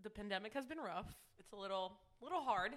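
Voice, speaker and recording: A woman's voice: medium pitch, expressive, fairly quick, fairly bright tone. A woman in her late twenties chatting casually as a guest on a podcast. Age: late 20s. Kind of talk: casual chat.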